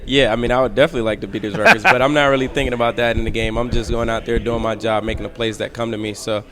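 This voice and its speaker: A man's voice: mid-range voice, fairly even intonation, very fast, sharp tone. A young man who plays college football, answering interview questions in an easygoing way. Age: young adult. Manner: easygoing, laughs between answers. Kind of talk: media day interview.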